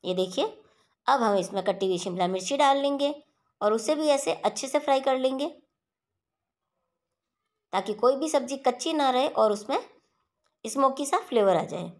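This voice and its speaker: High-voiced woman explaining a recipe. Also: moderate pace, pauses often. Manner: steady instructional, addresses viewers as friends. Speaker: cooking channel host. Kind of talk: voice-over recipe tutorial.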